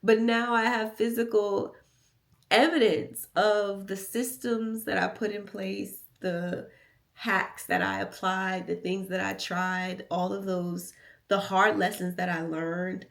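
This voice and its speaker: Creaky-voiced American woman, candid and playful. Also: medium pitch, steady tone, slow pace, a bit bright. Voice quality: slightly raspy and nasal. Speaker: vlogger. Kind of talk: casual confessional vlog chat.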